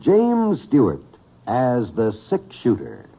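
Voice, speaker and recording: A man's voice: mid-range voice, huge pitch swings, takes it slowly. A man announcing in dramatic 1950s network radio style. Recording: muffled old radio broadcast over music.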